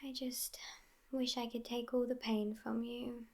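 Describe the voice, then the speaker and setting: Hushed, slow vampire girlfriend, acted by a woman. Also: fairly high pitch, level tone. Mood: tender, reassuring. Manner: hushed, often whispered, languid, unhurried. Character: sassy, outspoken, affectionate immortal vampire girlfriend. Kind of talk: ASMR roleplay.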